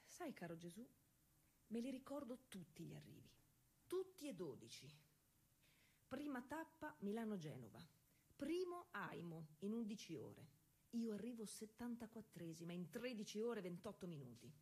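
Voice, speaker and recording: An actress playing a proud, eager young woman racing cyclist of the early 1900s in a conversational, insistent stage monologue, with a husky voice. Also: medium pitch, sing-song, normal speed, dark tone. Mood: reminiscing. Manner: explanatory, conversational, insistent with repeated checks. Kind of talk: theatrical monologue addressed to an unseen listener.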